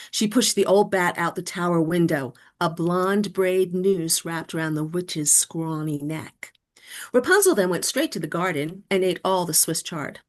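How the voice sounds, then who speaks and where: A woman giving a measured, deliberate literary reading of a narrative poem. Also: on the low side. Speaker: poet reading own work.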